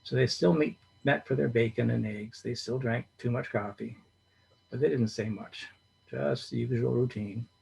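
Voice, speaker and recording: A man reading a short story aloud in a steady storytelling manner. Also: medium pitch, moderate pace, creaky, breathy edge, low-quality recording. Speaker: reader.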